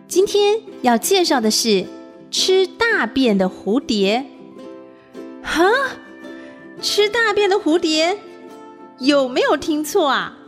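A woman hosting a children's nature story show, animated and putting questions to young listeners. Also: on the high side.

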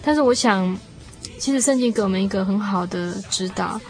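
A woman's voice: mid-range voice, fairly quick, faint music behind. A woman co-hosting a conversational discussion of faith, thoughtful and earnest.

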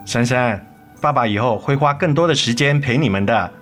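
A man acting a remorseful, devoted middle-aged father in a radio drama, gentle, tender and coaxing. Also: mid-range voice, moderate pace, lightly acted. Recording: music under the voice.

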